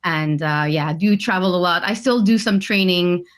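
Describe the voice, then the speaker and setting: Hesitant woman on a video call. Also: lowish voice. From French Canada. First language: French. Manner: hesitant, many "uh" and "um" pauses. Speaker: guest. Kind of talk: casual interview answers.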